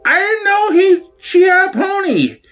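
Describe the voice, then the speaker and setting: A man's voice: very high voice, sing-song, measured pace, fairly bright tone, pitched above the performer's own voice, full character voice. A man voicing a neurotic male comedian in a sitcom reading, protesting in disbelief with one rhetorical question after another. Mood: defensive, incredulous. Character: neurotic young male comedian, whiny, defensive, incredulous.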